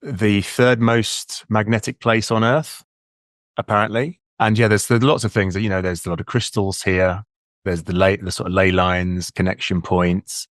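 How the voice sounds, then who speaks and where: A man's voice: on the low side, fairly even intonation, normal speed, no room sound, studio quality. A man of about fifty with an English accent, a guest talking reflectively and repeating words as he gathers his thoughts. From England. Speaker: guest, leadership coach. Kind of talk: casual interview talk.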